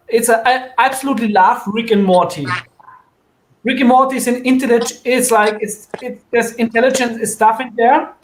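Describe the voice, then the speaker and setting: High-pitched man in a roomy space. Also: a little hiss or hum. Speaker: podcast panelist. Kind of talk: casual opinionated chat.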